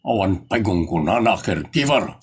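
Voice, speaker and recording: A man's voice: fairly low pitch, expressive, moderate pace, breathy edge. A man playing a stingy, grumbling old husband with an Ilocano accent in a comedy audio drama. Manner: complaining, exclamatory.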